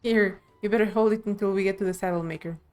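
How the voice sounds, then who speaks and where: A woman's voice: mid-range voice, fairly even intonation, fast talker, darkish tone, faint music behind. A woman reading video game dialogue aloud expressively on a live stream.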